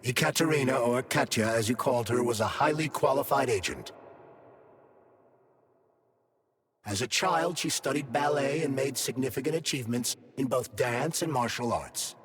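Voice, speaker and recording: A man's voice: mid-range voice, fairly bright tone, slightly rough, big-room sound. A man playing a sentient glove that holds a dead scientist's mind, formal and coolly vengeful, in a video game cutscene. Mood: grave revelation. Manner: articulate, formal, measured explaining.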